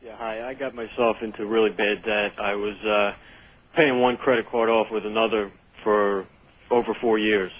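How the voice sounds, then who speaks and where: Low, even-toned man on tinny phone. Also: moderate pace. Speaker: phone-in caller. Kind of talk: casual confessional phone comment. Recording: tinny, band-limited phone line.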